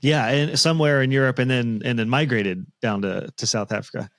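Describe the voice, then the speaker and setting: A man's voice: mid-range voice, fairly even intonation, fairly bright tone, no echo. A man in his forties hosting a podcast, chatting curiously with a guest over a studio mic from a home studio. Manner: curious, chatty, quick asides. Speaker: podcast host. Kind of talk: casual interview chat. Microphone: studio mic on boom arm.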